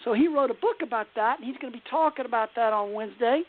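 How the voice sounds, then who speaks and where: High-voiced woman hosting over phone. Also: quick pace. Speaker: radio show host. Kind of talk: casual call-in radio chat. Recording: narrow tinny phone-line sound.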